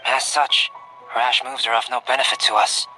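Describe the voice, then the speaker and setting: An actor playing a cool, reserved young alchemist in a video game, speaking in a measured, formal and precise manner. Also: male voice, medium pitch, little pitch movement, close to an everyday voice, rough recording. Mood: cautious. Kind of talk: video game cutscene acting. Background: game music.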